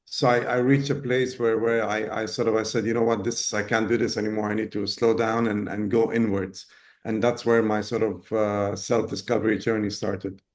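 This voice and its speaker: A man from Ghana in his fifties, talking as an interview guest, pausing often with fillers and restarts. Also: fairly low pitch, darkish tone. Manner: hesitant, many fillers and restarts. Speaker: guest, business owner. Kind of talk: casual interview talk.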